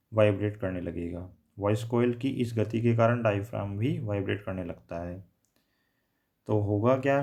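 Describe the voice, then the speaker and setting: Man, lowish pitch, soft dark tone, explanatory lecture, rephrases points, English technical terms mixed in. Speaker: teacher.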